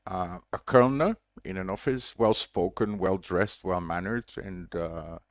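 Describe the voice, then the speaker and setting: A man's voice: low voice, unhurried, dry recording, clean studio sound. A man from Belgium, a diver running a reef conservation project, tells a long story in a casual livestream update, rambling with lots of fillers and restarts.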